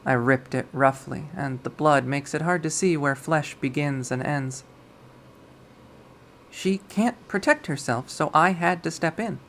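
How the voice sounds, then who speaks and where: A reader playing a possessive young woman narrates a dark visual novel in a measured, wistful tone. She sounds obsessive and coldly cruel, at a middling pitch. There is faint noise in the recording. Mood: possessive, menacing. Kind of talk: dramatic visual novel readthrough.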